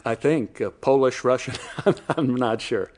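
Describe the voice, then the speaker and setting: A man's voice: mid-range voice, a little slow, a little mellow. An older man in scripted audio drama dialogue, speaking politely and agreeably, a little hesitant. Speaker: playwright acting himself in dialogue.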